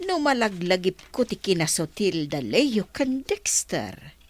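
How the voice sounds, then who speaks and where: Slow, lilting Ilocano village woman. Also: fairly low pitch, sing-song, no room sound. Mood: amused, fond. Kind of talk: Ilocano radio comedy-drama acting.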